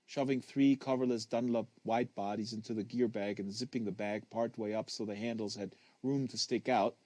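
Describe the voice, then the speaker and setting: A man's voice: fairly low pitch, fairly even intonation, normal speed, warm tone, dry recording. Man reading literary fiction aloud, dry sound. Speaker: audiobook reader. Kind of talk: live literary audiobook reading.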